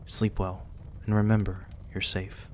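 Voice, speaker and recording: A man playing a courteous, reclusive young-looking vampire in an intimate ASMR roleplay, speaking softly and reassuringly in a politely old-fashioned way. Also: lowish voice, flat delivery, unhurried, slight background noise, close to an everyday voice. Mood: soothing.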